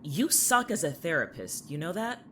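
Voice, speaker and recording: A man's voice: a little high, very animated, normal speed, bright, forceful tone, a little hiss or hum. A young man acting in an audio drama as a sarcastic, irritable young man trapped in a horror town, dry and defiant. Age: young adult.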